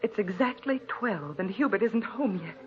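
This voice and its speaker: An actress playing a frightened, anxious wife writing in her notebook, with tremulous, halting delivery in a 1940s radio thriller style. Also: mid-range voice, animated, lightly acted. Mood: scared, hushed. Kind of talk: 1940s radio thriller acting, narrated diary. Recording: muffled, noisy old radio broadcast recording.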